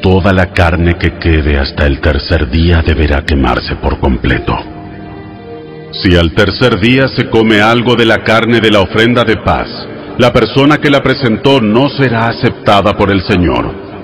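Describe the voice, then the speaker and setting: A man narrating an audio Bible in a solemn, measured, formal and instructive way over background music. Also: lowish voice, animated. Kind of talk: solemn scripted scripture reading.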